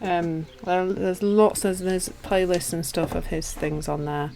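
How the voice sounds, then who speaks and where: Chatty Yorkshire woman, slightly low voice. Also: measured pace, creaky, dark tone, a little hiss or hum. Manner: chatty and self-deprecating, with frequent restarts and laughs. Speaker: meditation teacher. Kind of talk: live-stream chat with viewers.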